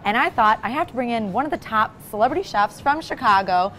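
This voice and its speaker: Upbeat lady tv host, chatty and keen, talks fast, kinda high voice. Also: animated, fairly bright tone, a little hiss or hum, rough recording. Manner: chatty, enthusiastic. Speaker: show host and sommelier. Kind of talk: upbeat on-camera interview presenting. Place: inside a supermarket wine section.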